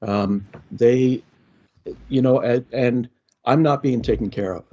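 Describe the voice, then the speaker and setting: A man's voice: mid-range voice, slow, dark tone, dull audio. A middle-aged man with a Canadian accent, speaking conversationally on an online panel and often checking in with "okay?". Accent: Canadian English.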